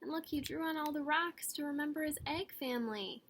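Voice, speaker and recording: A woman's voice: high voice, a little fast, a bit bright, slight background noise. A woman reading a picture book aloud for children, speaking directly and encouragingly to her young listeners. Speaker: story time reader for children.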